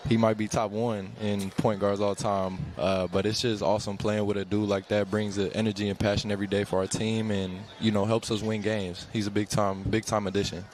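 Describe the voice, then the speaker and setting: A man's voice: fairly low pitch, a little hiss or hum. A young man, a rookie player, answering courtside questions politely in stock phrases, in an arena. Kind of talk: postgame courtside interview.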